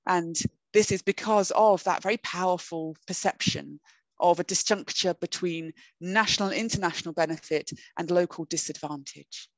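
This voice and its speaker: A woman academic giving a recorded webinar lecture over a video call, measured and explanatory, with occasional "um"s and rephrasings. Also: fairly low pitch, no echo, low-quality recording. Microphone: computer webcam mic on a video call.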